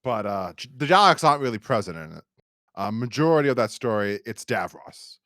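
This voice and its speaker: A man's voice: medium pitch, big pitch swings, a little fast, fairly bright tone, dry recording, clean studio sound. An American man hosting a podcast, talking casually and enthusiastically in a rambling fan-review style. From United States. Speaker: podcast host.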